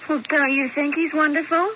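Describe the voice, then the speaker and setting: An actress playing a vain, needy young wife in a radio drama, melodramatic and pleading in manner. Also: female voice, high-pitched, steady tone, moderate pace, natural voice. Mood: earnest, wounded. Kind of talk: old-time radio drama acting. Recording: muffled, noisy 1970s radio broadcast.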